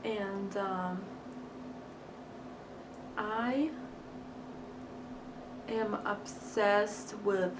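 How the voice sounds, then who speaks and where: A woman's voice: mid-range voice, fairly even intonation, drawn-out words, long pauses. A young woman from Crimea, a native Russian speaker, chatting casually while eating, with lots of fillers and giggles. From Crimea, Ukraine. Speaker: vlogger. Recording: echoey room, far mic, hiss.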